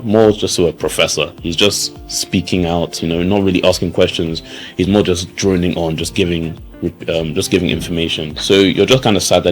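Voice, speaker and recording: A young man, answering interview questions loosely, with many fillers and restarts. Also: low-pitched. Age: young adult. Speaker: student.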